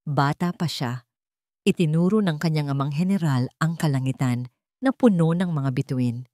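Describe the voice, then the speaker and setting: A woman's voice: low voice, normal speed, dark tone, no room sound, studio quality. A woman narrating a scripted story in a dramatic storytelling style.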